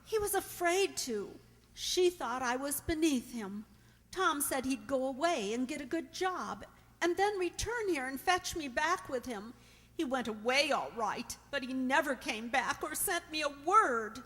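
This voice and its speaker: A woman acts out a young deserted wife in a radio play. Her voice is high and plaintive, with the echo of a hall around it. She sounds resigned and forlorn. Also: expressive, measured pace, fairly bright tone. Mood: sad, confiding. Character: Mary, forlorn young working-class abandoned bride. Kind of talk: radio drama acting.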